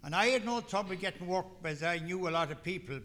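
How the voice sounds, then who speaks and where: Bright-voiced older Irish man telling his story. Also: high voice, fast. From Ireland. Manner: folksy storytelling with asides. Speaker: recovery meeting speaker. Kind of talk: personal testimony talk.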